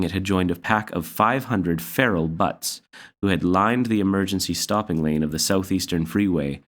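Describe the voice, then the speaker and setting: A man with a low-pitched voice reads a children's story aloud. He delivers it as scripted storybook narration. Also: fairly bright tone. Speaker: story reader.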